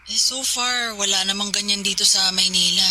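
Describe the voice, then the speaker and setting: A woman's voice: mid-range voice, fairly even intonation, normal speed, crowd noise, lightly acted, poor audio. A woman playing a young working wife, casual and reassuring, in a radio drama conversation. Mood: surprised, skeptical. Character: young working wife, calm and mildly skeptical.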